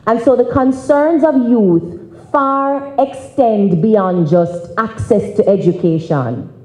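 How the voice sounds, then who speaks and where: A young Jamaican woman giving a public speech, phrasing it deliberately and emphatically. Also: fairly high pitch, mellow. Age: young adult. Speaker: youth organisation president, speaker. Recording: roomy, echoing conference hall.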